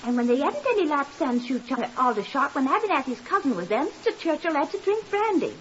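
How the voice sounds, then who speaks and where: A woman's voice: high voice, big pitch swings, fast. An actress playing a garrulous, deferential neighbour woman in a 1950s radio drama, rambling on eagerly. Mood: chatty, eager. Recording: hissy, muffled 1950s radio recording.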